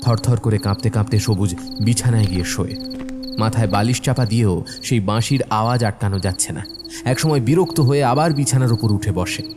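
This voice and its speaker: A man's voice: lowish voice, a little fast, background noise. A man narrating a scripted horror cartoon as a dramatic storyteller.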